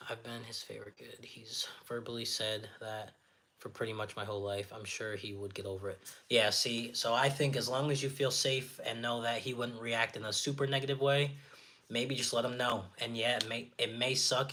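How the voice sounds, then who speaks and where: A man with a naturally raspy, slightly nasal voice, hosting a casual livestream, reading viewer comments aloud and answering them in a friendly, encouraging way, sniffling often. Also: mid-range voice, sharp tone. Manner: friendly, encouraging, chatty with filler words. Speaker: livestream host.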